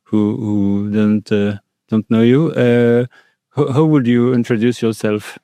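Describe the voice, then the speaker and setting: Casting: male interview host, mellow and clear, hesitant phrasing, dry studio-close sound. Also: mid-range voice, steady tone, no room sound. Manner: halting, hesitant phrasing with repeated words. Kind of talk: casual interview.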